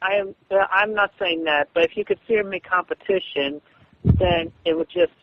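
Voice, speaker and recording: A man on a phone call, arguing defensively in a halting, repetitive way. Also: high voice. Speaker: webcomic artist. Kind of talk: phone argument. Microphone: telephone.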